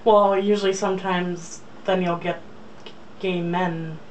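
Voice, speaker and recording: Wry woman, unhurried, chatting casually. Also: lowish voice, steady tone. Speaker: co-host commenting on a reading. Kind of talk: casual chat, wry interjections. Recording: distant mic, faint background noise.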